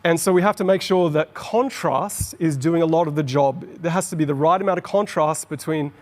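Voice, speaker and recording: Australian man presenting in a reverberant hall. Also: fairly high pitch. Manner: explanatory, enthusiastic, quickens on asides. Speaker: conference presenter. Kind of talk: keynote presentation. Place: large conference hall on stage.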